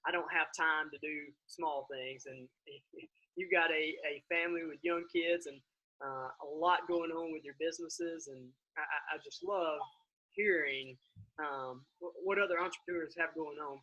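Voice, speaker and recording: A man's voice: fairly high pitch, bright, forceful tone, slightly rough, rough recording. A man hosting a conversational interview in an effusive, affirming manner. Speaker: interview host.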